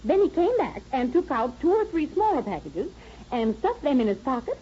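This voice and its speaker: A muffled, noisy vintage radio recording carries a homely American proprietress. She is garrulous and easily flustered, with a high, mellow voice that rises and falls freely. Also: big pitch swings, normal speed. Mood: earnest recounting. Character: chatty, folksy, flattered small-eatery proprietress. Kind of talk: 1930s radio acting.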